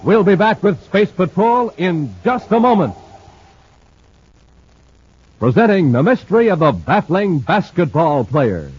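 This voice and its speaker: Vintage radio announcer, man: animated sell, a bit husky. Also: a little slow, long pauses. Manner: energetic, lively sales pitch. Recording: dull old radio recording.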